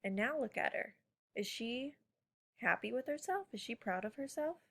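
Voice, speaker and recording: A woman's voice: a little high, expressive, normal speed, gravelly, fairly bright tone. A woman teacher talking informally to children, prompting them with questions during a read-aloud lesson. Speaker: elementary school teacher.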